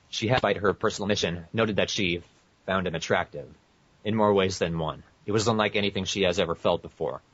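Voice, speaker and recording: A man's voice: deep voice, moderate pace, long pauses, fairly bright tone, husky. A man co-hosting a podcast, reading fan fiction aloud with casual asides and often tripping over his words. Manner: stumbles over words and corrects himself. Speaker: podcast co-host. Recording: muffled, echoey lo-fi podcast audio.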